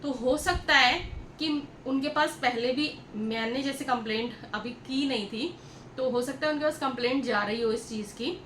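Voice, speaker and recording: A woman's voice: high-pitched, normal speed, fairly bright tone. A woman telling a story to viewers in a casual, chatty vlog style, repeating herself and addressing them directly. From India. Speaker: vlogger. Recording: echoey room with clear background noise.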